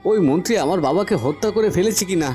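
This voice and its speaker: Earnest young prince, higher-pitched. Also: male voice, lively intonation, rapid-fire, warm tone. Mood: afraid. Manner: hesitant, earnest. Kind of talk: Bengali cartoon voice acting. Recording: faint music behind. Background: faint background music.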